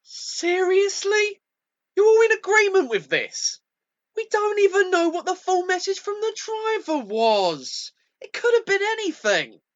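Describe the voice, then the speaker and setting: A woman's voice: extremely high pitch, sing-song, unhurried, dull audio, full character voice. A woman acting in an amateur radio play as an arrogant, sarcastic city professional with a pompous, scornful manner. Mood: incredulous.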